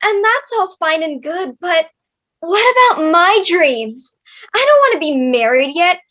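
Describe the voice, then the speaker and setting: A woman's voice: extremely high pitch, very animated, unhurried, fairly bright tone, muffled recording, full character voice. A young woman playing a chatty, independent-minded thirteen-year-old girl in a comic stage reading. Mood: wistful, frank.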